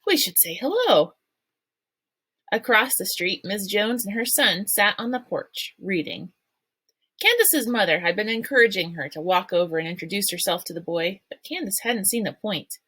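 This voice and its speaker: Woman reader, children's novel read-aloud, steady storytelling, dry sound. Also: mid-range voice, moderate pace, slightly rough, no room sound.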